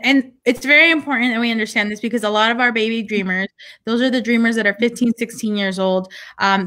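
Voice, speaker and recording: Woman, interviewer, bright voice, quick speech, fillers, somewhat high pitch. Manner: quick, with many fillers and restarts. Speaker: interviewer from an advocacy group. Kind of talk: interview questions.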